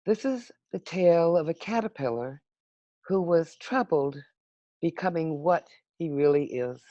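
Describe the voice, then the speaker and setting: A woman reading a children's storybook aloud in an expressive, unhurried manner with dramatic pauses, over a video call. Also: deep voice, dry recording. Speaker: storybook reader. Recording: muffled, lo-fi video call audio.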